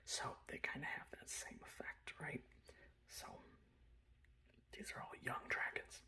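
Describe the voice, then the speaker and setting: A man speaking in a breathy whisper, presenting his hobby in a casual, rambling ASMR style. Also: unhurried. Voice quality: breathy whisper with soft low speech. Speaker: hobbyist miniature painter presenting his collection. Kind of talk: whispered ASMR show-and-tell.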